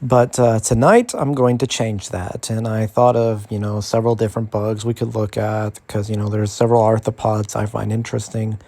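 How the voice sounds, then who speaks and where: A man's voice: lowish voice, little pitch movement, moderate pace, few pauses, darkish tone. A man hosting an informal educational ASMR explainer, talking in a hesitant, rambling way. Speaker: host of an educational bug ASMR series.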